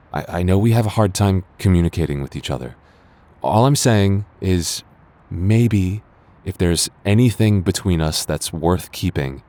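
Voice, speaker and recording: A man playing a flustered, defensive young man secretly in love with his childhood friend, speaking haltingly with stammers in a romantic audio roleplay. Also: sits low, a little mellow.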